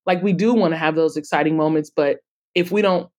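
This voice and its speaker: A woman's voice: deep voice, fairly quick, darkish tone. A woman speaking as an interview guest in a conversational, emphatic way. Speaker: podcast guest, author and publisher.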